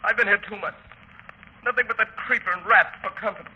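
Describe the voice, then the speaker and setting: An actor in a 1930s radio drama playing a half-starved prisoner unravelling in chains, bitter, melodramatic and repetitive with despair. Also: male voice, high-pitched, steady tone, quick pace, close to an everyday voice. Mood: bitter, weary. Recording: dull noisy 1930s radio recording.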